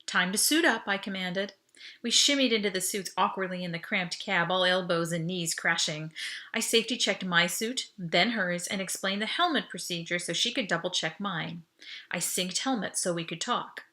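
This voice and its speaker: Bright-voiced woman narrating a story. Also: fairly low pitch, normal speed. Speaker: author reading an audiobook. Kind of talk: expressive first-person story narration.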